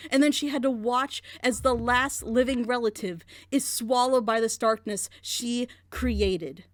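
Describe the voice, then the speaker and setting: A woman's voice: fairly high pitch, measured pace, no echo. A woman in a group reaction show, critically breaking down a plot twist with rhetorical questions. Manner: critical, analytical, builds argument with rhetorical questions. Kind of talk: animated group reaction chat.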